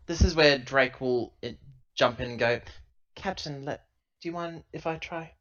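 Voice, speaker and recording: Through dull, lo-fi audio, a man plays a tabletop roleplaying game. His voice is bright and mid-pitched, and he casually moves between describing his character and speaking in character. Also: normal speed. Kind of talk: casual play, describing and voicing own character.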